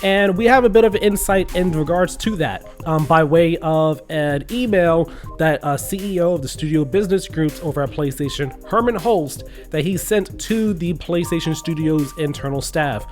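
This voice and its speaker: Young male commentator, slightly high voice, stuffed-up nose, indignant and earnest, faint music under. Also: normal speed, few pauses. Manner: earnest, restarts and fillers, indignant. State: congested from a cold. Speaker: gaming news commentator. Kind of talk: casual video commentary.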